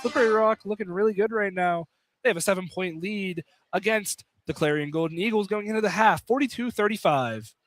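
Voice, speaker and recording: A young man with a notably high voice calls a college basketball game live on radio. His play-by-play is informal and conversational, with banter aimed at a co-commentator. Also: a little fast, faint music behind. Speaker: play-by-play announcer. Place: college basketball field house, live broadcast.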